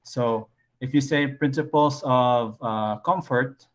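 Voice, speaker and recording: Male teacher, mid-pitched, casual online lecture with lots of fillers. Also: slow pace. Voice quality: low voice. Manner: casual, thinks aloud with frequent fillers.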